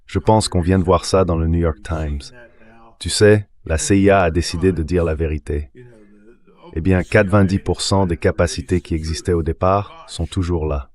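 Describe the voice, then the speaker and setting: A man's voice: low voice, steady tone, moderate pace, darkish tone. A man's synthetic dubbing voice giving political commentary as an interview guest.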